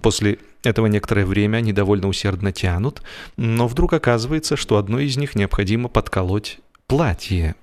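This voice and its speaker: A man reading a scripted humorous story as a narrator, with an expressive, theatrical delivery. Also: lowish voice, a little fast. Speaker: audiobook narrator and performer.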